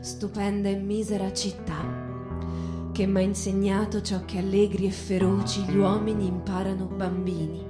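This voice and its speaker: A woman host giving an expressive literary reading on a radio show, with guitar music. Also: mid-range voice, roomy sound. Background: acoustic guitar music.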